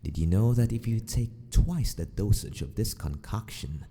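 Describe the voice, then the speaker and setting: A man playing a sadistic, possessive mad scientist in an ASMR roleplay, mock-polite and menacing, often sinking into a breathy whisper. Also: lowish voice, animated, normal speed, mellow, a little hiss or hum.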